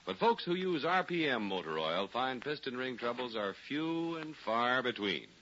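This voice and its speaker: A man's voice: medium pitch, sing-song, normal speed, pushed and bright, slight background noise. A man announcing on a 1940s radio show, with polished, scripted, salesmanlike delivery. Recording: muffled 1940s radio broadcast recording.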